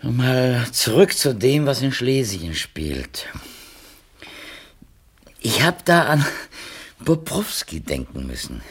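An actor playing a well-meaning, talkative publisher's editor in a 1970s German radio play, speaking in wordy, tentative phrases. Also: male voice, mid-range voice, sing-song, takes it slowly, long pauses, breathy edge, full character voice. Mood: earnest. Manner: wordy, tentative, self-qualifying.

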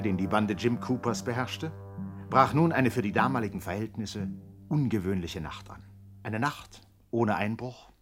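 A man giving deliberate, mock-grave scripted narration for a 1960s radio crime drama. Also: medium pitch. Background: music.

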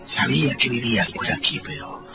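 Whispery, menacing megalomaniac scientist. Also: male voice, mid-range voice, lively intonation, vocal fry. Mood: smug, intense. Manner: slow, whispery, theatrical threatening delivery. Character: menacing, megalomaniac invisible man, a rogue scientist. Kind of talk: Spanish-language radio drama acting. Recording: loud music over the voice.

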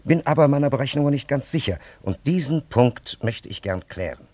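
An actor playing a solemn, condescending elderly scholar from antiquity in a radio play, with archaic and over-courteous diction. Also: male voice, medium pitch, lively intonation, a little fast, a little mellow, dry recording, close to an everyday voice. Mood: solemn explaining. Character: solemn, condescending ancient scholar and time traveller, elderly man.

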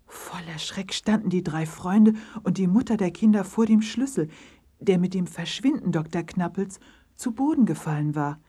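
A woman with a husky, breathy voice narrating a children's story in a dramatic, suspenseful way. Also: lowish voice, lively intonation, normal speed, mellow.

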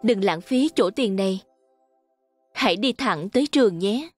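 A woman narrator voicing a gentle but firm motherly fairy in a children's storybook cartoon. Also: medium pitch, lively intonation, measured pace, slightly rough, no echo, soft background music. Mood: encouraging.

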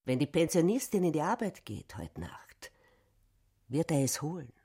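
A woman acting a hushed, scheming storytelling voice in a radio play. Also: deep voice, big pitch swings, normal speed, heavy background noise. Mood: secretive.

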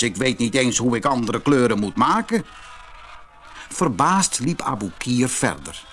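A man narrating a fairy tale for a children's audiobook in an expressive, measured storytelling manner. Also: mid-range voice.

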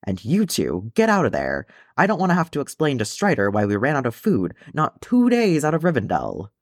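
Man playing a grumbling hobbit cook, lively pitch. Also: brisk, no room sound, clean studio sound. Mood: fussing, scolding. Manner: homely, muttering, disapproving. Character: grumbling, practical, protective male hobbit servant-cook. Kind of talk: storybook character reading.

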